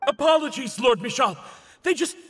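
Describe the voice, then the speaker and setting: Panicked rebel underling, extremely high male voice, strained, deferential, game dialogue. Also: animated, normal speed, fairly bright tone, full character voice. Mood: panicked, apologetic. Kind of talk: anime game voice acting.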